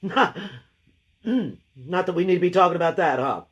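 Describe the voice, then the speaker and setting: A woman hosting a live craft painting session. She has a deep, gravelly voice left over from a cold, and she chats and thinks aloud while she works. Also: moderate pace, lo-fi sound. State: lingering gravelly voice after a cold. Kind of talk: casual live painting demo.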